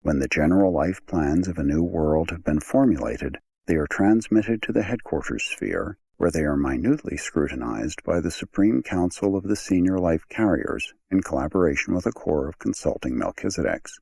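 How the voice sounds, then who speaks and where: A man reading an audiobook as a scripted narration, in a measured, formal manner. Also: low-pitched, lively intonation, vocal fry, a little mellow, breathy edge.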